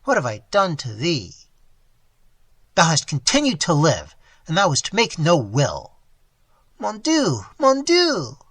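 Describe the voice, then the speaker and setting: Frail old guy crying out, huge swings up and down, reader doing the voice, husky. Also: huge pitch swings, a little slow, few pauses, pushed and bright, dry recording, full character voice. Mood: grieving reproach. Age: over 60. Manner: cries out in high, shaking outbursts. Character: frail old male miser, anguished and pleading.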